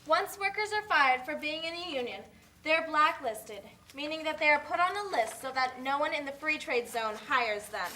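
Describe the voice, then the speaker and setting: A woman's voice: sits high, expressive, moderate pace, noisy background. A woman voicing a blunt, matter-of-fact factory worker who explains things in an amateur puppet show. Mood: explanatory.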